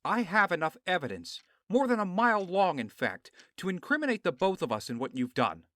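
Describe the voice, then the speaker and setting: A man's voice: sits high, big pitch swings, fairly quick, a bit bright, no echo, clean studio sound. A man playing a horrified business partner with a troubled conscience in an audio drama, indignant, pleading and emotional. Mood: resolute.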